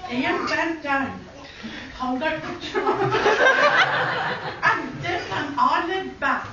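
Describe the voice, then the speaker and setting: High-voiced older woman, echoey. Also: sing-song, extremely slow, heavy background noise. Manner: chuckling often while reading from a sheet. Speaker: guest giving a wedding speech. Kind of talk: reading a rhyming wedding speech aloud. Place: indoor party room at a wedding celebration. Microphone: camcorder from across the room. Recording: distant echoey dull camcorder audio with room chatter.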